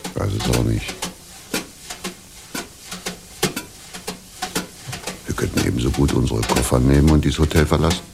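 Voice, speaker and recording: An actor playing a weary, fatalistic drifter who philosophizes in a laconic, dry way in an absurdist radio play. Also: male voice, extremely low pitch, fast talker, creaky, full character voice. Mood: resigned. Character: weary, philosophizing male drifter waiting with suitcases. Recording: noisy 1980s radio play recording.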